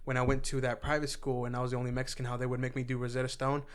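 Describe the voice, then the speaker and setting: A man with a General American accent in casual podcast talk, soft-spoken and reflective. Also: mid-range voice, flat delivery, very fast. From United States. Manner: soft-spoken, reflective, many backchannel murmurs. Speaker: podcast co-host. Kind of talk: casual conversation.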